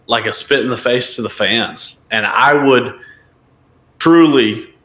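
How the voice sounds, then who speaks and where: A man's voice: medium pitch, slow pace, a bit bright. Podcast co-host, male, emphatic and opinionated, recorded with a roomy echo. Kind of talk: casual wrestling podcast chat.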